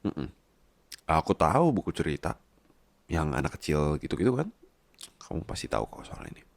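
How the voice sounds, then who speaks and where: A man's voice: a bass voice, very animated, moderate pace, creaky, no echo. A man in an intimate ASMR roleplay, talking and telling stories in a playful, coaxing, colloquial way. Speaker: voice roleplay performer as doting husband.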